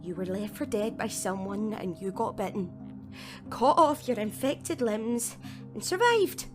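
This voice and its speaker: An actress playing a fierce, defiant survivor in an audio drama, blunt and exasperated in manner. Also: female voice, mid-range voice, animated, a little slow, over music, close to an everyday voice. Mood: sceptical.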